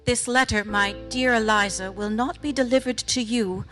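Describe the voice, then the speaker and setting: A woman voicing a devoted early American wife, reading a farewell letter aloud in a tender, solemn, measured way. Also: a little high, a bit bright, natural voice. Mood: tender, sorrowful. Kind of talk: dramatic reading of a period letter. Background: music.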